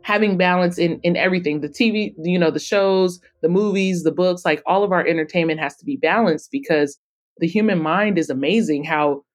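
Casting: woman with a fairly low voice, expressive interviewee in an easy conversation. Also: brisk. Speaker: podcast guest, author and publisher.

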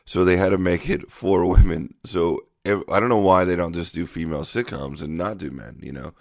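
A man co-hosting a casual comedy podcast, joking and laughing through quick back-and-forth chat. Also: low voice, creaky, no room sound, clean studio sound. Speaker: podcast co-host.

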